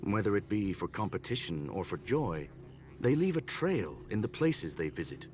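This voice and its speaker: A man voicing a learned mechanical gentleman in a video game, formal, calm and eloquent. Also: fairly low pitch, moderate pace. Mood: calm, musing. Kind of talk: scripted video game dialogue. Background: game music.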